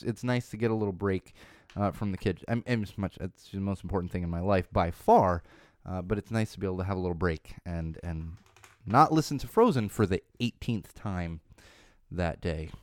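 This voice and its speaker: A man's voice: lowish voice, darkish tone, smooth, dry recording. A man, hosting a casual podcast from his home studio, talking in a rambling way with many restarts, his voice still slightly off from an ear infection. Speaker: podcast host.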